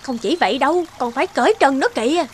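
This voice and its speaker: Woman playing a high-pitched, fretful villager. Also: lively intonation, quick pace, slight background noise. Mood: alarmed. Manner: exclaiming, pleading. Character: worried, blunt female village onlooker. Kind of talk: dubbed folktale cartoon acting.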